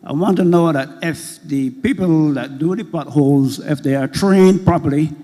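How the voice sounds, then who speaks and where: A hoarse man, an audience member earnestly raising questions at a public meeting, rambling a little with many fillers. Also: on the high side, normal speed, dark tone. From Barbados. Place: school hall at a public meeting. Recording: long reverb of a large hall.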